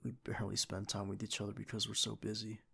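Man acting as husky young agent boyfriend. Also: lowish voice, completely flat delivery, fast talker, a bit bright, heavily acted. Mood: hushed, loving. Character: tender, devoted young male secret agent boyfriend. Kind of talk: ASMR boyfriend roleplay acting.

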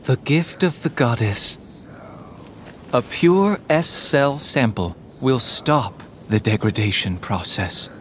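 An actor playing a grandiose, poetry-obsessed former soldier in a video game, speaking in a measured, reciting way. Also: male voice, medium pitch, expressive, a little hiss or hum. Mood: solemn. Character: theatrical male former soldier, obsessed with poetic prophecy. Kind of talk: English video game dub acting.

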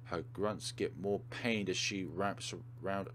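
A man's voice: low voice, steady tone, measured pace, fairly bright tone. Man reading game narration, faint noise behind. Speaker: let's play host. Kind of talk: reading game narration aloud with casual commentary.

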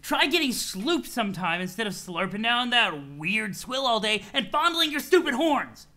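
A man's voice: extremely high pitch, big pitch swings, normal speed, bright, forceful tone, heavily acted. A man voicing an irritable, sweary young troll who rants scornfully in exasperated insults.

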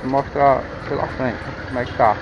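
A man's voice: mid-range voice, steady tone, moderate pace, highs cut off. A man giving a chatty running commentary to his viewers while riding a motorbike through town. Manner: chatty, addresses viewers as family. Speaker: vlogger. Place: riding a motorbike on a busy town street outdoors. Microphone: camera mounted on a motorbike. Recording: loud traffic and motorbike noise. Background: loud traffic and engine noise.

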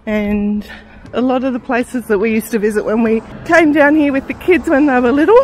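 A woman, narrating a casual travel vlog in a relaxed, chatty way while touring outdoors. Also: fairly high pitch, normal speed, rough recording. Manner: chatty, relaxed tour guiding. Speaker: travel vlogger. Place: outdoors touring a town and wildlife park.

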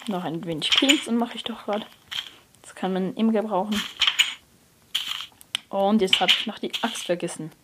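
A young person, likely a teen, giving hesitant gameplay commentary, often correcting themselves and dropping to a near whisper. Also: female voice, mid-range voice, moderate pace, long pauses. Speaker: let's player. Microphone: phone screen recorder mic. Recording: noisy lo-fi phone recording.

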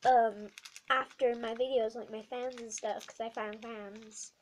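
A young girl with a bad cold and a croaky voice, chatting through a craft tutorial vlog in a small bedroom, rambling with many ums. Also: fairly high pitch, little pitch movement, unhurried, a little hiss or hum. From not American. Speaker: craft vlogger. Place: small dim bedroom indoors.

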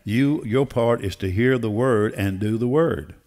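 An older man preaching a sermon in an emphatic, deliberate way, repeating phrases for weight. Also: medium pitch, a little mellow. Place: church auditorium stage. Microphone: headset.

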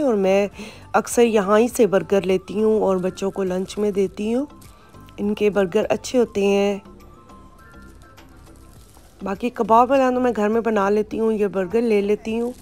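A woman narrates her weekly shopping in an easy, conversational way. Her voice sits at a middling pitch and is captured on a handheld phone inside a supermarket. Faint music plays behind her. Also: mellow. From Pakistan. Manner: chatty, explaining prices and products. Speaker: vlogger. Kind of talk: casual vlog narration. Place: supermarket, indoors.

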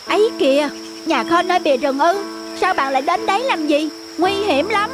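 A woman voicing a cautious, concerned rabbit in an animated children's story, with a pleading, cautioning manner. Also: extremely high pitch, moderate pace, over music. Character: cautious, concerned cartoon forest rabbit friend.

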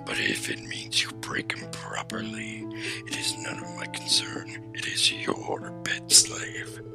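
A man voicing a menacing alien tyrant king, slow and imperious, in a rasping whisper. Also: over music, natural voice. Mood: stern, dismissive. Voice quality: breathy, gravelly rasped whisper.

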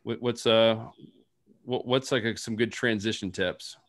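Casting: young man, slightly low voice, playful panel host on a video call. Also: steady tone, measured pace. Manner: joking, playful. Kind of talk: casual panel chat.

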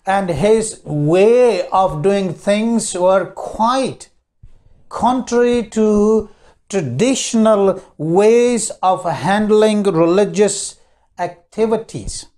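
A middle-aged man giving a sermon on a lapel mic, preaching in a slow, deliberate way with pauses. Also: high voice, dark tone. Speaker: minister. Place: small indoor studio, red backdrop.

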